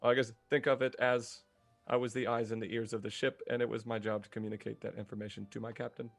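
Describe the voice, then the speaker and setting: A stiff, formal robot cook who serves ramen and speaks in even, measured sentences, as a man reads the part aloud. His voice sits at a middling height and stays plain and unhurried. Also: mid-range voice, gravelly, faint music behind. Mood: polite. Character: polite, stiff male ramen-cooking robot.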